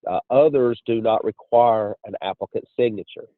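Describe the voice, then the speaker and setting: A man giving a measured, explanatory testimonial as an insurance agent on a conference call. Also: on the low side. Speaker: insurance agent and training director. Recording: muffled phone line with room echo.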